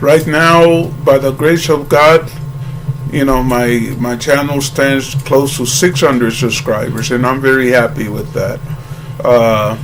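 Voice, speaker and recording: A man talking casually to his channel's viewers, rambling with halting restarts and earnest greetings. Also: mid-range voice, steady tone, noisy background. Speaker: YouTuber.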